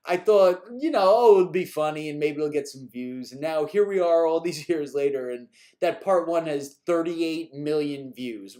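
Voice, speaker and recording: Man from New York, slightly high voice. Loose, chuckling live-stream host, mildly exasperated with repeat questions. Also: darkish tone. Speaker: animation creator answering viewer questions.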